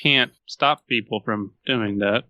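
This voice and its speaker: A man with a bright, forceful voice trades casual podcast banter. He is sardonic and mocking as he picks things apart, and he swears freely. The sound is dry, with hardly any room in it. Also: lowish voice, slow pace, no room sound. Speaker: podcast co-host.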